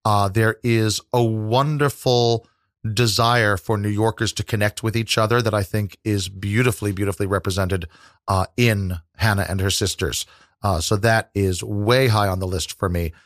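A man talks at length in a relaxed interview, his voice sitting low. He circles his points with frequent fillers and restarts, thoughtful rather than showy. The recording is close and dry, with no room around him. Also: moderate pace, no room sound. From New York. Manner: thoughtful, rambling with many fillers. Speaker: interview guest, New York tour guide. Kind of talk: casual interview conversation.

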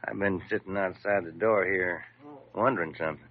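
An actor in an old radio drama playing a blunt, plain-spoken police sergeant with a rough way of talking, on a muffled recording. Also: male voice, low voice, fairly quick. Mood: bashful. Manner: rough, uneducated grammar.

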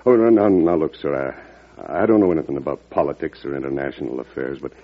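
Deep, husky, folksy old-radio farmer. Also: male voice, exaggerated voice. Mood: humble, hesitant. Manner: folksy, halting, deferential. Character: humble, plainspoken farmer, neighbor and father. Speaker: actor. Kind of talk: old-time radio drama acting. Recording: tinny, band-limited vintage radio recording.

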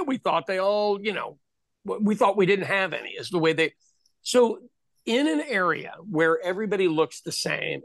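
Animated middle-aged man telling stories, dry sound. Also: sits high, gravelly, no echo. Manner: animated storyteller, acts out quoted voices. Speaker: podcast host. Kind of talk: casual conversation.

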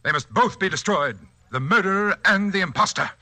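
Bright-voiced, suavely menacing radio villain. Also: male voice, sits high, animated, fairly quick, dry recording, exaggerated voice. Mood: cold, menacing. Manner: polite, condescending, formal. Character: suave, coldly menacing male hypnotist with murderous hatred. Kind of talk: 1940s radio drama acting. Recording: 1940s radio broadcast.

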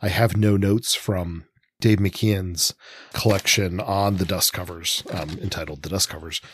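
A man's voice: low voice, steady tone, a little slow, a little hiss or hum. A man co-hosting a podcast, offering analytical commentary as he thinks aloud, often qualifying his points. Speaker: podcast co-host.

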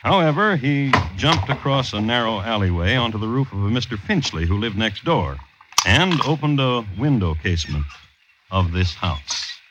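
A man narrating an old-time radio crime drama as a droll storyteller, measured, formal and dry. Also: mid-range voice, sing-song, very noisy, natural voice. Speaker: narrator and host. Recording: dull old radio recording, music behind.

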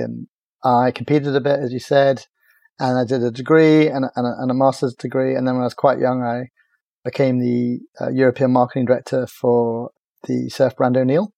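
A middle-aged man from talks through his story in a relaxed, stop-start way, his voice gravelly and mellow. He keeps an even, level tone and is heard close and dry. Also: medium pitch, dry recording. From Ireland. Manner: halting, many restarts and fillers, relaxed. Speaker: podcast guest, agency founder. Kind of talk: casual interview chat.

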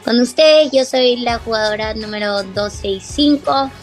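A woman's voice: on the high side, a little slow, soft background music. A woman introducing herself casually as a podcast guest. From Peru. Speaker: reality show contestant as podcast guest.

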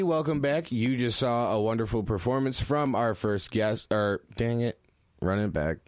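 A man hosting a podcast in a casual interview chat, upbeat and chatty and laughing at his own slip-ups. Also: medium pitch. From Holland, Michigan. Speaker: podcast host.

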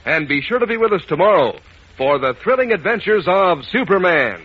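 Male announcer, folksy enthusiastic 1940s ad style, highish lively pitch, husky, muffled recording. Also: normal speed. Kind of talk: 1940s radio advertising pitch to children. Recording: muffled vintage radio broadcast.

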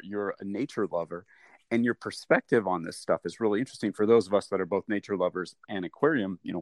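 A male podcast host interviews a guest. His voice is fairly low and the sound is dry. He is eager and keeps stumbling over repeated words and fillers. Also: a little mellow, no room sound. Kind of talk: casual interview.

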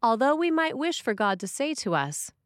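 A woman's voice: high-pitched, big pitch swings, moderate pace, clean tone, no echo. Woman reading a sermon, dry close sound.

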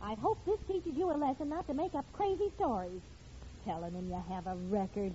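Through a muffled old broadcast with faint noise comes a no-nonsense American wife and mother. She scolds in a lively, swooping way, in 1940s radio comedy style. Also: sits high, brisk. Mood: scolding. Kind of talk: 1940s radio sitcom acting.

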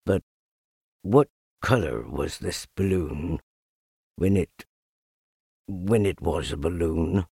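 A man voicing a melancholy donkey who speaks slowly and mournfully, murmuring as if to himself, in a storybook reading. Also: low voice, lively intonation, creaky, a little mellow, husky, dry recording, studio quality, voice pushed down, full character voice. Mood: gloomy, wistful. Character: gloomy, slow, melancholy male donkey.

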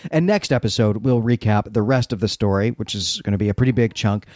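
A man co-hosting a literary podcast, speaking articulately in measured, discursive sentences. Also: fairly low pitch, fairly even intonation, a little mellow, no room sound, studio quality. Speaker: podcast co-host.

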